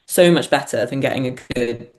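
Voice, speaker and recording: A woman's voice: rumbling low, brisk. A woman speaking as an interview guest, reflective and hesitant, thinking aloud as she goes. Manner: hesitant, thinking aloud, punctuated by small laughs. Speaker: guest, writer-performer.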